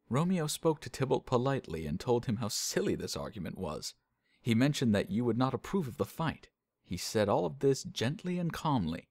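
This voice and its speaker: An earnest, loyal young nobleman played by a man in a Shakespeare audio drama. His voice is fairly low and dramatic, captured very dry and close. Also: a little mellow, no echo.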